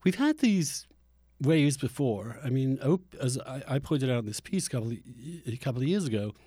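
A man's voice: mid-range voice, expressive, moderate pace, dark tone, breathy edge, no echo, studio quality. A middle-aged man hosting a conversational podcast interview, giving opinions emphatically and often restarting his phrases. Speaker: podcast host.

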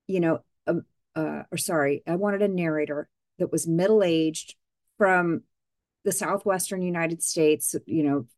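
A woman hosting a podcast interview, chatty and quick with asides, often correcting herself mid-sentence. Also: lowish voice, a little mellow, no room sound, clean studio sound. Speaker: podcast host. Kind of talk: casual interview chat.

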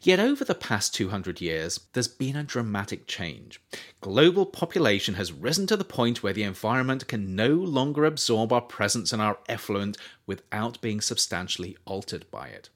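A man with a fairly low voice reads his own nonfiction book aloud. His pitch swings widely as he leans on the important phrases. The reading stays measured and thoughtful throughout. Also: sing-song, a bit bright. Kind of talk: nonfiction audiobook narration.

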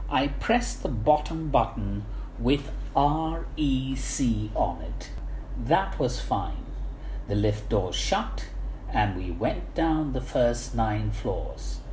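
A woman reading a humorous first-person anecdote aloud with measured, wry understatement, like a storyteller. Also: very deep voice, warm tone. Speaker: guest reader of book excerpts. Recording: roomy echo, faint background noise.

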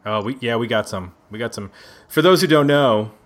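Male podcast host, mid-pitched, chatty, jokey, self-correcting, casual round-table talk. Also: normal speed, a little hiss or hum. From Buffalo, United States. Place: indoors at a neighbor's house.

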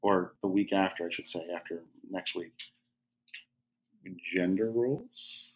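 Husky, teasing man. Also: sits low, unhurried, poor audio. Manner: teasing, wry. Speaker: podcast co-host, yoga studio owner. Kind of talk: casual conversation.

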